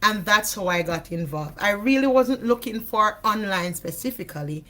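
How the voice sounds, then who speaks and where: A woman with a Jamaican accent, a hoarse voice and a chatty way of talking, a guest in an interview over a video call. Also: medium pitch, expressive, moderate pace, pushed and bright. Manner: chatty, repeats "you know what I mean". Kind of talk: casual interview chat.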